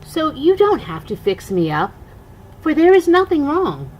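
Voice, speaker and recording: An older woman, a grandmother, reading a rhyming children's storybook aloud in an expressive, singsong way. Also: high-pitched, unhurried, slight background noise, low-quality recording.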